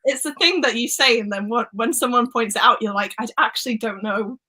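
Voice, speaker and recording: A young Welsh woman with a bright, high-ish voice chats casually over a video call. She laughs often and trails through fillers as she talks. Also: brisk. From Wales. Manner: chatty, giggly, lots of fillers. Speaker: booktube host. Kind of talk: casual chat on a live stream.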